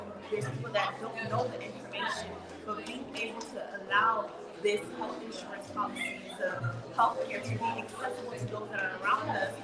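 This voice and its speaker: A woman explaining earnestly as an interview guest at an event, often repeating her phrasing. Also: mid-range voice. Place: fundraiser event venue. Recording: distant, echoey, loud music behind.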